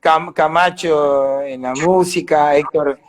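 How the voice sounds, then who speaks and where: Argentine guy, bit high pitched, chatting in an interview, thinks out loud and explains stuff. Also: fairly even intonation, takes it slowly. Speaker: actor, interview guest. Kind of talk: casual live conversation.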